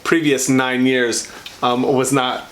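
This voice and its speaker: A man's voice: medium pitch, little pitch movement, slow pace, fairly bright tone, a little hiss or hum. A man with an American accent sharing personal stories in a conversational way as a vlog co-host. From Washington state, United States.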